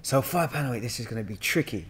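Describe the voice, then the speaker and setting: A man's voice: medium pitch, fast, slight background noise. A man in his thirties with a London accent, telling slangy, joking anecdotes in casual conversation. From Hackney, London, England. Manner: joking, slangy, animated storyteller. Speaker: podcast guest, actor. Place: indoor studio room with sofa. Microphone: podcast mic on boom arm.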